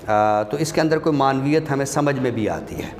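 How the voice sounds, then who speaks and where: Male lecturer, mid-pitched, explanatory classroom delivery, long room reverb. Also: a little mellow. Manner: explanatory, addresses listeners directly. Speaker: literature lecturer. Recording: reverberant hall, clear background noise.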